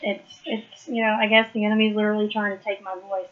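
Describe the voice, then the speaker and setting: Woman, live study host, hoarse, chatty, muffled stream audio. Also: mid-range voice, level tone, fast talker. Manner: chatty, laughs often, warm southern "y'all" address. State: losing her voice. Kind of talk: casual live Bible study talk.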